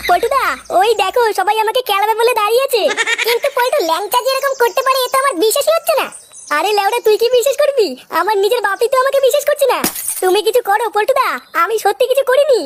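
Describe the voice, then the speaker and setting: A tiny, extremely high-pitched cartoon village lad, cheeky and foul-mouthed. The pitched-up voice rattles along in indignant slang over clearly noisy audio. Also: fast. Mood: worried pleading. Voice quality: squeaky, artificially pitched-up voice. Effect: pitch-shifted up. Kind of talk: exaggerated cartoon dialogue.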